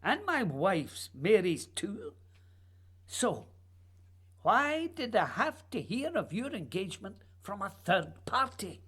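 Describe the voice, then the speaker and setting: A woman playing a proud, secretive male playwright in a radio play, speaking formally and deliberately in a husky voice. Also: mid-range voice, big pitch swings, heavily acted. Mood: wounded, accusing.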